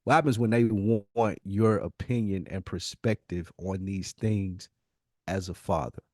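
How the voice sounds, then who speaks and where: A man's voice: sits low, fairly even intonation, measured pace, darkish tone, no room sound, clean studio sound. A man co-hosting a podcast in casual chat, thinking aloud reflectively and circling back over his words. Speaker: podcast co-host.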